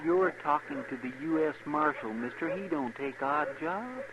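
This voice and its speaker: A man's voice: on the high side, animated, normal speed, crowd noise, close to an everyday voice. An American actor playing a poor, earnest, folksy townsman in a 1950s western radio drama, with a plaintive drawl. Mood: matter-of-fact. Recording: noisy, muffled vintage radio recording.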